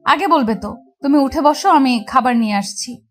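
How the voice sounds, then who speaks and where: A woman's voice: sits high, lively intonation, moderate pace, soft background music. A woman playing a caring, chiding young college girl in an audio love story, with a Bangladeshi accent. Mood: tender concern. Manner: chiding, affectionate.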